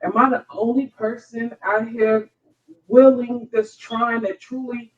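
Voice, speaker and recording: A woman's voice: a little high, steady tone, unhurried, far from the mic, lo-fi sound. An American woman on a scripture study panel, talking conversationally and checking in often, picked up without a microphone of her own. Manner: conversational, frequent check-ins with listeners. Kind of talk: casual Bible discussion. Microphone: picked up from across by another's mic.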